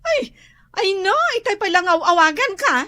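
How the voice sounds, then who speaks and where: A woman's voice: extremely high pitch, animated, normal speed, sharp tone, exaggerated voice. A woman playing a vain, whiny office worker. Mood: chatty. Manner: whiny, dramatic. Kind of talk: radio comedy drama acting.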